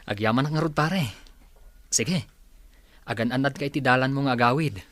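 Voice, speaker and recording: A man's voice: medium pitch, moderate pace, fairly bright tone, slight background noise, close to an everyday voice. An actor playing a weary, polite, hesitant young man in a radio drama, with a Filipino accent. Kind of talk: Ilocano radio drama acting.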